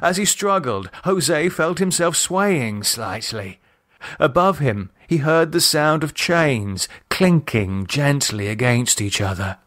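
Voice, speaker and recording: A man reading a horror novel as a dramatic audiobook, measured and expressive in his delivery. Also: fairly high pitch. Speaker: author and narrator reading own novel.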